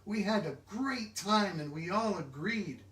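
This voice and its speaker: Male book reader, slightly high voice, reading aloud, roomy and distant recording. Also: lively intonation, measured pace, fairly bright tone. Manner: stumbles over long words. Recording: echoey room, distant microphone.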